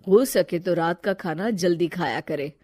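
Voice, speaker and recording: A matter-of-fact visiting doctor in a cartoon tale, acted by a woman. The delivery is calm and advisory, giving plain guidance to the family. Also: lowish voice, normal speed, pitched below the performer's own voice, lightly acted. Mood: calm, advising.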